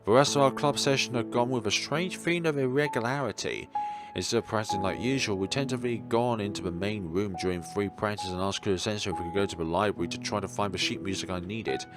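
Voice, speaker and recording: A man reading a visual novel aloud as a let's player, in expressive first-person narration. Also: lowish voice, quick pace. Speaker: video game let's player. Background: guitar music.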